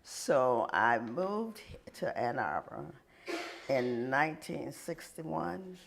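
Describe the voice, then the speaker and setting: A man in his eighties from Atlanta, recounting his birth and family with hesitant pauses. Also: mid-range voice, very animated, creaky, fairly bright tone, slight background noise. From Atlanta, Georgia. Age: 80s. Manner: hesitant, with many ums. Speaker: oral history interviewee.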